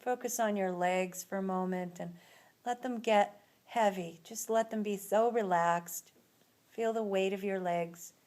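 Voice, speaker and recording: A woman leading a guided relaxation as an instructor, speaking in a soothing, unhurried manner with long pauses between phrases. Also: mid-range voice, steady tone, a little mellow.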